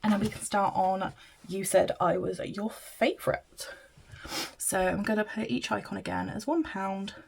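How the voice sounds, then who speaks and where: Snuffly British woman with a gravelly voice. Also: medium pitch, a little mellow. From Britain. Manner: chatty, counting money aloud, apologetic asides. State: blocked-up nose from hay fever. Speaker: budgeting vlogger. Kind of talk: casual chat while counting cash aloud.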